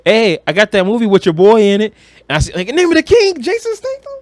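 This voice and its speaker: A man co-hosting a podcast, chatting casually about movies and games in an animated, exclamatory, slangy way. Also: high voice, quick pace, dry recording. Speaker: podcast co-host.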